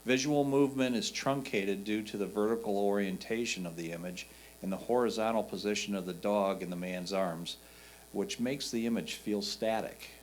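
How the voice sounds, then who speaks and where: A man's voice: fairly low pitch, normal speed, slight background noise. A man reading a judge's written photo comments aloud.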